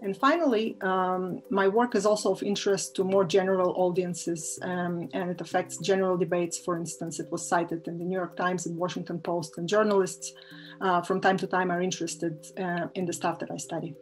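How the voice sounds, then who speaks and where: A woman from Israel, an assistant professor, talking about her research in a measured way with frequent filler pauses. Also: on the low side, little pitch movement, faint music behind, poor audio. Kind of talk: interview-style talk about research.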